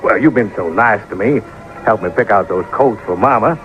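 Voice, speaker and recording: A man's voice: fairly low pitch, moderate pace, a bit bright, close to an everyday voice. An actor playing a naive, polite, bashful young country boy in an old radio drama, with folksy ungrammatical talk. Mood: eager, shy. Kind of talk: old-time radio detective drama acting. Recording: muffled vintage radio recording, music behind.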